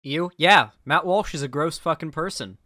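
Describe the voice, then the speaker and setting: A young man commentates on politics in a streamer's off-the-cuff style. His voice sits a little high and the sound is very dry. He restarts phrases often and talks with sardonic, sweary bite. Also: bright, forceful tone, no echo. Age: young adult. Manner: halting, repetitive, sardonic, sweary. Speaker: streamer reacting to a video. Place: indoor streaming room.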